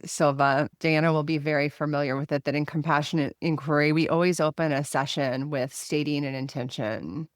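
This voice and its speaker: A woman hosting a conversational interview, speaking in deliberate, rather formal phrases. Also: low voice, no echo, studio quality. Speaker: podcast host.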